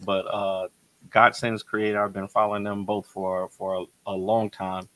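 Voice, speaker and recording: A man with a fairly low voice, chatting as a podcast co-host. His delivery is casual and halting, supportive of the guest, with pauses to find the next word. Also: flat delivery, bright, forceful tone, slight background noise. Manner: halting, searching for words, supportive.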